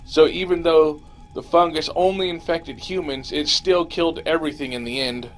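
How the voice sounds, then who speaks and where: Higher-pitched man narrating grim horror over music. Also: fairly even intonation, moderate pace, poor audio. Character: male survivor recounting a zombie fungus apocalypse. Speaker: audio drama narrator. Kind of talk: scripted first-person horror story narration.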